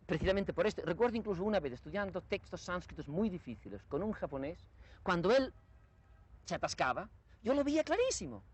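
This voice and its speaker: Lively Spanish-born man, slightly high voice. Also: fairly quick, breathy edge. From Spain. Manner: lively, emphatic, repeats himself when starting a sentence. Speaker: guest scholar. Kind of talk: conversational discussion.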